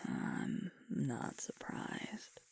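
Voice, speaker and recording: A woman playing a sweet, protective, gently firm werewolf girlfriend in an ASMR roleplay, soothing and coaxing in a breathy whisper. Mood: tender, soothing. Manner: soothing, coaxing, reassuring, deliberate pauses.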